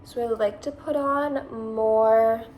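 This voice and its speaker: A woman's voice: a little high, steady tone, takes it slowly, dull audio, slight background noise. A woman in her twenties with a Californian accent, chatting casually through a makeup tutorial, rambling and saying "like" often. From Massachusetts, USA. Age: 20s. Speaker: beauty vlogger.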